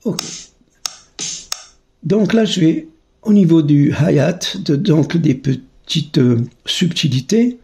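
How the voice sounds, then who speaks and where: Guy with kinda high voice doing a casual music lesson, thinking out loud, bit of music in the back. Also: expressive, takes it slowly, pauses often. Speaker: music teacher, channel host. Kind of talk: casual spoken tutorial demonstration.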